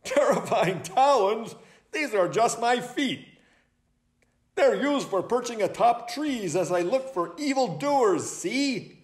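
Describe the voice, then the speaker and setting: A man voicing a dramatic, self-important owl detective from a children's storybook, excitable and declamatory. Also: very high-pitched, takes it slowly, pitched above the performer's own voice, full character voice. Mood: indignant, dramatic. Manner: pompous, declamatory.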